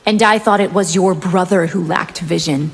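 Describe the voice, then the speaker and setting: Woman acting a low-voiced, haughty vampire woman. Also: normal speed, a little hiss or hum, lightly acted. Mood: haughty, taunting. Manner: haughty, threatening, theatrical. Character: menacing, bloodthirsty winged vampire woman, haughty and defiant. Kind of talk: video game character dialogue. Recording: long reverb, loud music behind.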